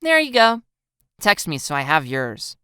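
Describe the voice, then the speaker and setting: A woman's voice: sits low, huge pitch swings, a little slow, pushed and bright, clean tone, no echo, clean studio sound, pitched above the performer's own voice, exaggerated voice. A woman voicing a mischievous, amused teenage schoolgirl in an audiobook-style story dub, playful and mock-innocent. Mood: gleeful. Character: teasing, gleeful teenage schoolgirl with a mischievous streak.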